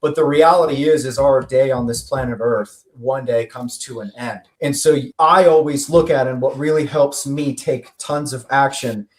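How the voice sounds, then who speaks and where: A man's voice: medium pitch, moderate pace, few pauses, darkish tone. A man speaking as a podcast guest, blunt and emphatic, repeating words for force and swearing freely. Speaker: podcast guest, filmmaker and entrepreneur. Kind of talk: casual conversational interview.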